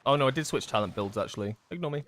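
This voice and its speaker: A young man giving casual livestream commentary while gaming, chatty and self-deprecating with excitable outbursts, his voice going hoarse. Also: medium pitch, racing. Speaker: gaming streamer.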